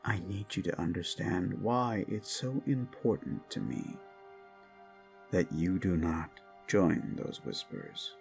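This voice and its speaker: An actor playing an unhinged, paranoid, possessive young prince who speaks with soft menace, breaks into unsettling laughter and drops into whispers. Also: male voice, low-pitched, sing-song, slow pace, creaky, faint music behind, heavily acted. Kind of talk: audio roleplay drama.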